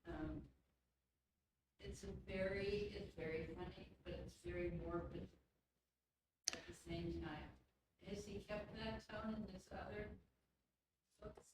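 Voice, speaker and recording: A woman in a book club, speaking quietly and softly. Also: medium pitch, fairly even intonation, a little slow, long pauses, a little hiss or hum, poor audio. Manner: quiet, soft-spoken.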